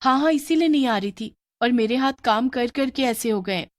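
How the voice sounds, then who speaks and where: A woman's voice: on the high side, moderate pace, no echo, studio quality, pitched above the performer's own voice, lightly acted. A woman voicing a long-armed female witch who poses as a kindly snack seller, coaxing and sweet.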